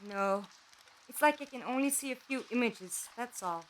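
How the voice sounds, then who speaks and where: Girl playing sardonic adventure companion, high animated voice, blunt and questioning, audio drama, faint noise. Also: normal speed, natural voice, rough recording. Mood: questioning, wry. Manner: direct, questioning.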